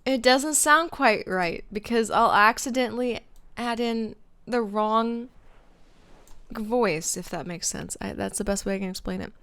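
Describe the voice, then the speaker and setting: A woman's voice: on the high side, a little slow, a bit bright. A young woman streamer chatting casually with viewers, rambling with lots of fillers and restarts. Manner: chats with viewers by name. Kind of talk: casual live-stream chat.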